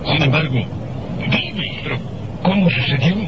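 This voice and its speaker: A man's voice: on the high side, lively intonation, measured pace. An actor with a Chilean accent playing a brusque, perplexed man of a military hospital in an old radio drama. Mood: perplexed, agitated. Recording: muffled, very noisy old radio recording.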